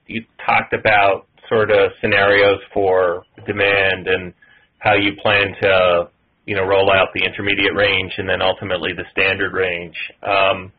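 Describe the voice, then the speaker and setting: Male financial analyst, low-pitched and slightly husky, putting detailed measured questions over a narrow phone connection. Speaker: analyst asking questions on a conference call. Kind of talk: questioning on an earnings call. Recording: muffled, tinny conference phone line.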